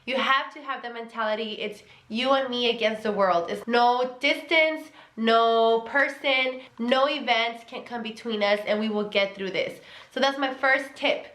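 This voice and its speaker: A woman's voice: fairly high pitch, measured pace, few pauses, a bit bright. A young woman with a Mexican accent giving relationship advice in a casual vlog, earnest and emphatic, repeating key words. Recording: echoey room.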